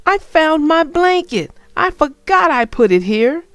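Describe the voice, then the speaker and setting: Woman voicing an excitable high-pitched little boy turtle. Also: unhurried, dry recording, heavily acted. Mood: excited. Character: anxious young boy turtle, earnest and sheepish. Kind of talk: children's storybook character voice.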